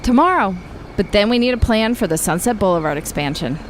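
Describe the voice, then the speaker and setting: A woman's voice: medium pitch, lively intonation, brisk, slight background noise, close to an everyday voice. An American actress playing a tough, guarded female leader of a neighborhood militia in a naturalistic post-apocalyptic radio drama. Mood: businesslike.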